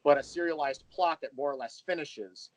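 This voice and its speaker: A man's voice: a little high, moderate pace, no echo, low-quality recording. A man speaking as an interview guest, explaining things in detail in a conversational way. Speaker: interview guest, audio drama creator.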